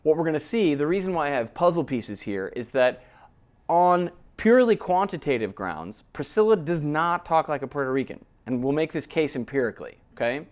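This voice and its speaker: A man lecturing in a relaxed, conversational way, explaining ideas step by step and often checking in with his listeners. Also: fairly high pitch, fairly quick. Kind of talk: academic lecture.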